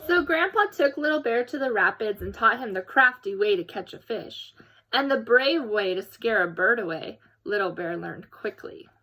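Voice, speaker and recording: A woman narrates for young children with an expressive read-aloud delivery. Her voice sits a little high and has a very bright tone. She is animated and speaks straight to her listeners. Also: moderate pace, poor audio. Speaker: storybook reader.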